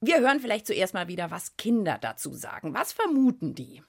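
A woman hosting a children's science show in casual, playful banter, animated and given to giggling. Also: medium pitch, a little fast, gravelly, soft background music.

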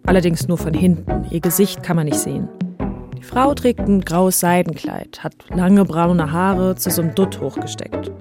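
Woman, podcast host, slightly low voice, scripted conversational narration, clear music bed behind. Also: moderate pace. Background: background music.

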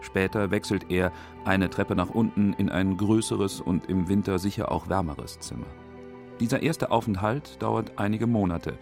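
Male documentary narrator, deep register, factual clipped phrasing, scripted radio read. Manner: crisp short phrases, factual.

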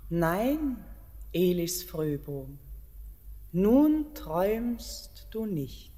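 An actress playing an ancient queen of the mountain, speaking calmly and gently in a classic radio play. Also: fairly low pitch, extremely slow, exaggerated voice. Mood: longing. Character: ancient underground queen of the mountain, calm and longing. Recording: long hall reverb.

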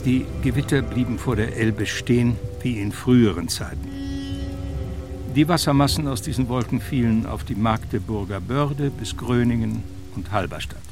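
A man's voice: medium pitch, normal speed, music in the background, lightly acted. A man reading scripted literary narration for a radio play.

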